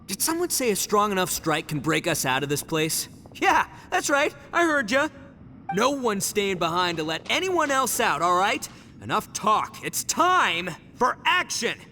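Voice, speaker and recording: An actor playing a brash, hot-blooded young fighter in a video game, boisterous and bold. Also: male voice, high voice, sing-song, measured pace, pushed and bright, a little hiss or hum, exaggerated voice. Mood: fired up.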